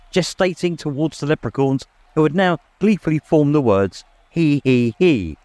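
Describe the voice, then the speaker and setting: A man's voice: medium pitch, a little mellow, no echo. A man narrating a story in a dramatic, scripted audiobook style.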